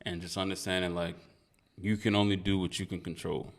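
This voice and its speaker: A man's voice: deep voice, flat delivery, brisk. A man from Nigeria, a guest musician in a casual interview, talking in a laid-back, reflective, slangy way. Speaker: guest artist.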